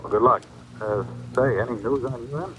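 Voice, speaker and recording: A man plays a sympathetic, plain-spoken detective in a vintage radio drama. His voice is medium in pitch. There is faint noise on the recording. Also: a little fast, lo-fi sound, lightly acted. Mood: businesslike. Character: earnest, sympathetic male detective, matter-of-fact. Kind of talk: 1970s radio mystery acting.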